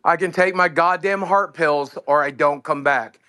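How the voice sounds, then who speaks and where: A man on a livestream yelling angrily and swearing in a heated argument. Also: fairly high pitch, normal speed, smooth. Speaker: livestream co-host.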